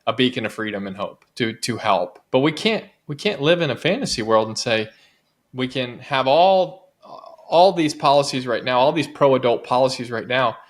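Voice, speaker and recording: A man's voice: medium pitch, expressive, normal speed. Earnest man arguing policy as a guest. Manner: earnest, persuasive, builds points with repetition. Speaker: guest author and advocacy director. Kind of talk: interview, making an argument.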